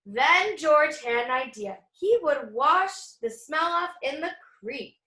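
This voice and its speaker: High-voiced woman reading slowly to children. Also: animated, sharp tone. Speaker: read-aloud storyteller. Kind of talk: children's picture book read-aloud with asides to young listeners. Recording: echoey room.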